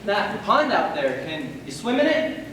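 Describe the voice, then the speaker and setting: A man's voice: high voice, sing-song, unhurried. A man acting in a stage play as a cocky, dim young aspiring actor in his twenties, breezy and self-satisfied. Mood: carefree. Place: stage in a large hall, far from microphone. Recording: distant, echoey, noisy stage recording.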